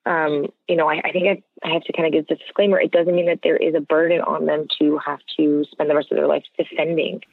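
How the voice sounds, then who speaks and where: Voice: mid-range voice, level tone, fast talker, vocal fry, few pauses, dry recording. An American interview guest speaking earnestly and conversationally, explaining points and often checking with "right?". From United States. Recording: narrow, tinny phone-line sound.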